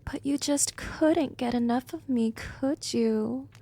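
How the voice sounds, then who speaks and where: A woman playing a smug, gently dominant female vampire in an intimate ASMR roleplay, speaking softly and often slipping into whispers, teasing and coaxing. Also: high-pitched, slow pace, full character voice. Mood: smug, seductive. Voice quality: soft, breathy, often dropping into whispers.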